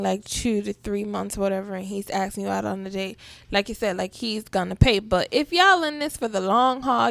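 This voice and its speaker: A woman's voice: on the high side, vocal fry, a bit bright, no echo. A woman co-hosting a casual chat, explaining her opinions at length and acting out little quotes. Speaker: co-host.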